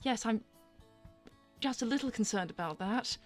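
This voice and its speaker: A woman voicing a polite, guarded, apologetic young cat princess with formal phrasing and hesitant pauses in improvised livestream roleplay. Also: on the high side, expressive, soft background music. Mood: wry, concerned.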